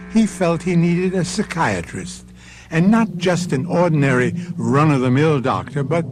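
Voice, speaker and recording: Man hosting an old radio mystery. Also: a little high. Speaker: host and narrator. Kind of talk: dramatic radio narration.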